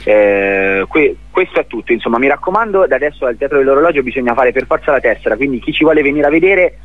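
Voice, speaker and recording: A man from Italy giving a spontaneous radio interview as a theatre director, speaking haltingly and repeating words as he searches for phrasing. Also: medium pitch, expressive, no room sound, narrow, tinny audio.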